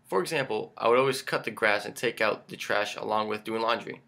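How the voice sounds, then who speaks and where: A young man, a Greek speaker, giving a prepared student self-introduction in an earnest, measured way with small stumbles. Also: lowish voice, gravelly, bright, forceful tone. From Pennsylvania, United States. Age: young adult, about 19. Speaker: college student.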